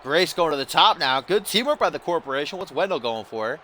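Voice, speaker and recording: Bright-voiced man yelling wrestling play-by-play. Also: fairly high pitch, expressive, brisk, slight background noise. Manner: swings from dry grumbling to yelling big moves; profane, teasing. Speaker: video game commentator.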